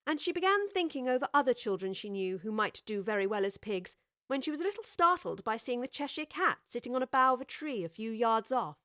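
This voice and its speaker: A woman narrating a children's classic in a measured, clear storytelling manner, recorded on a studio microphone. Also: fairly high pitch, big pitch swings, a bit bright, dry recording.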